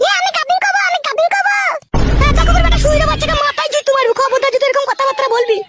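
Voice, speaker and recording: Extremely squeaky, furious cartoon wife. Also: female voice, very fast, a bit bright, no echo, studio quality, faint music behind. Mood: furious, cursing. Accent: rural West Bengal Bengali. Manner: shrill, cursing, nagging. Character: furious, shrill, sharp-tongued young village wife. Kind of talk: comic cartoon dialogue.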